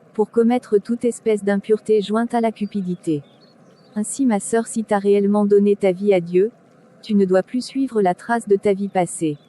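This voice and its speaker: A woman playing a pious, kindly church sister who counsels in a measured, preachy way in an animated drama. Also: mid-range voice, close to an everyday voice.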